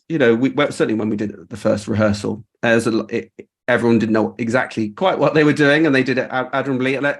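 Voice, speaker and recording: A man hosting a casual group video call, talking in an informal, rambling and appreciative way. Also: mid-range voice. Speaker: host. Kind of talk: casual chat, reflecting on a creative project.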